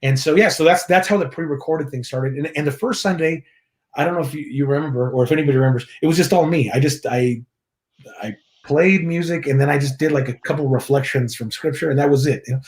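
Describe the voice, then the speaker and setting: A man's voice: a little high, fast. A man from Mexico who leads a church's contemporary worship, chatting easily as an interview guest, laughing often and restarting his sentences. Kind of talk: casual interview chat.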